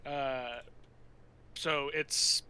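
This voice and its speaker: A man playing a tabletop game, chatting casually and haltingly as he thinks aloud and rambles. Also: mid-range voice, steady tone, pushed and bright, smooth, dry recording.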